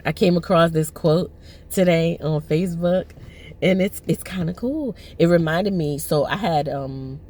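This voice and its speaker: A woman in her thirties vlogging from her parked car, her voice hoarse and lowered from allergies, rambling with many fillers and restarts. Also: darkish tone. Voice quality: lowered, husky voice. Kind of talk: casual personal vlog. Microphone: phone on the dashboard.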